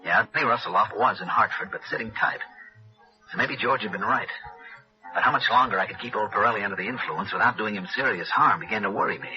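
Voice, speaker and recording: A man's voice: on the low side, fast, close to an everyday voice. An American man playing a cool, guarded hard-boiled insurance investigator in 1960s radio noir style. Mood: tense, measured. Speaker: narrator and lead actor. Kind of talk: 1960s radio noir acting, first-person narration. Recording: dull old radio recording with music.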